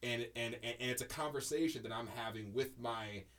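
A man's voice: medium pitch, brisk, a bit bright. Rambling male podcast host. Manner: rambling, heavy on fillers and restarts, emphatic storytelling. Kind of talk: casual podcast chat.

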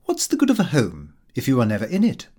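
A male reader plays a middle-aged Victorian clerk, narrating his comic diary for an audiobook. He is fussy, pompous and entirely earnest. His intonation is very animated, rising and falling widely as he sets out his small domestic affairs with great self-satisfaction. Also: medium pitch, quick pace. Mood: self-satisfied, chatty.

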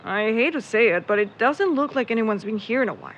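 Against loud background noise, an actress voices a young woman with a slightly high pitch. She sounds reluctant and wry, grumbling nervously in a conversational mystery audio drama. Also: animated, brisk, dull audio, close to an everyday voice. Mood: skeptical.

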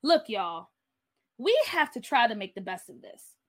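A woman's voice: fairly high pitch, big pitch swings, measured pace, fairly bright tone, exaggerated voice. A woman voicing a concerned, level-headed schoolboy in a story, with an earnest manner.

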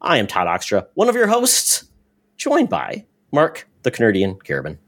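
A man's voice: on the low side, wildly swooping pitch, moderate pace, a bit bright. A man speaking as a podcast host in a casual, chatty style. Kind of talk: casual podcast chat with sponsor read.

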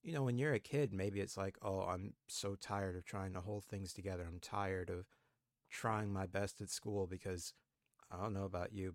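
Low gravelly man, dry and close. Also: no room sound. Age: 20s. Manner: reflective, thinks aloud with fillers. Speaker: podcast co-host. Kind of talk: casual conversation.

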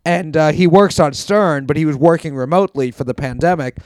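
Male podcast co-host, teasing and rambling, slightly high voice, dry sound. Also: a little fast, darkish tone, no echo. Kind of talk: casual comedy podcast chat.

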